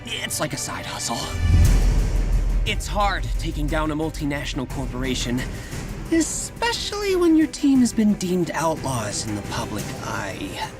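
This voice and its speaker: A man's voice: a little high, wildly swooping pitch, measured pace. An American man playing an upbeat, wisecracking, nervy young superhero in a video game, chatty and rambling. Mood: chatty, excited. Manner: chatty, rambling, self-correcting, jokey. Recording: game music behind the voice.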